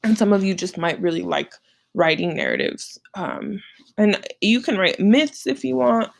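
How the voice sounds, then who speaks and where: Lady teacher explaining classwork, chatty, lots of vocal fry, keeps checking in. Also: medium pitch, very animated, moderate pace. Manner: conversational, checks in often with short tag questions. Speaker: teacher explaining an assignment to students. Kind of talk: informal screen-share lesson, walking through slides.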